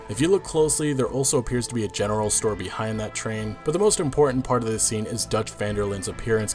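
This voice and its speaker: A man giving breakdown commentary on a video game trailer. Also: mid-range voice. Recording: music behind the voice. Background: music.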